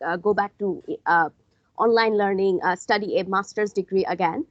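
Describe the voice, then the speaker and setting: A woman's voice: medium pitch, moderate pace, no echo, highs cut off. A young woman from Bangladesh, a graduate student, speaking earnestly on a video call and repeating her phrases. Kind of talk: casual academic discussion.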